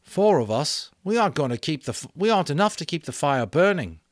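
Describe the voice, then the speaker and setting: Male reader as troubled storybook boy, downcast and scared, raised pitch, dry sound. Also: very animated, a little fast, dry recording. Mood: discouraged. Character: Ralph, troubled, frightened schoolboy leader.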